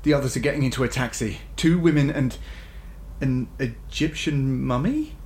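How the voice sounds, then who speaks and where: A man's voice: mid-range voice, moderate pace, a little mellow, close to an everyday voice. A man playing a bossy, threatening law enforcer in an audio drama, terse and commanding. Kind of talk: audio drama thriller comedy acting. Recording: clear ambient vehicle noise.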